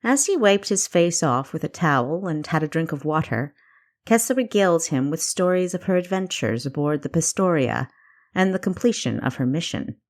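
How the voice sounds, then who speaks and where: A woman's voice: low voice, expressive, normal speed. A woman reading scripted story narration as the narrator of an audiobook. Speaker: author narrating own audiobook.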